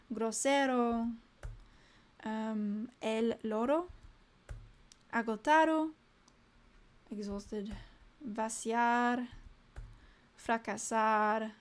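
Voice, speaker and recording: A young woman with a fairly high voice works through flashcards at an unhurried pace, saying each word aloud. She mutters little asides to herself between cards. When she turns to her live-stream viewers, she sounds cheerful and chatty. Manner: reads vocabulary aloud in short bursts, muttering asides to herself. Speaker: language learner streaming live. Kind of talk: casual live-stream study session.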